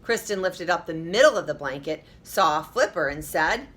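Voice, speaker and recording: A woman's voice: lowish voice, expressive, normal speed, a bit bright. A woman teacher reading a children's story aloud expressively, with emphasis, addressing young listeners directly.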